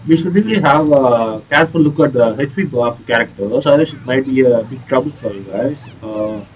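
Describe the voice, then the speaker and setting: A man giving casual, explanatory commentary on a video game playthrough in a hesitant, halting way. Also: mid-range voice, slight background noise, rough recording. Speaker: gaming video creator.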